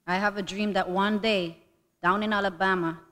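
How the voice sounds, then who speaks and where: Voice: medium pitch, fairly even intonation, moderate pace, fairly bright tone. A male voice giving a dramatic reading of a speech as oratory at a commemorative event. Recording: reverberant hall.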